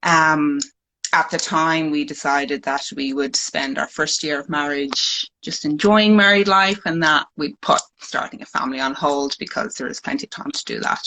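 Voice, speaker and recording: A woman's voice: sits low, a little slow, pushed and bright, low-quality recording. An Irish woman in her forties sharing her personal story as a live-stream guest, speaking hesitantly with many ums on a phone mic. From Ireland. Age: 40s.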